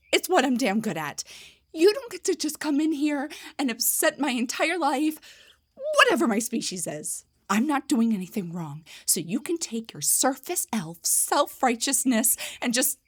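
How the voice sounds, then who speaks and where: A woman voicing an indignant, defensive half-drow bard in a scripted fantasy roleplay audio, with a breathy, theatrical delivery. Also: high voice, exaggerated voice. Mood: defiant. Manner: theatrical, sarcastic, emphatic, stammers when rattled. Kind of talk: scripted roleplay monologue, one side of a conversation.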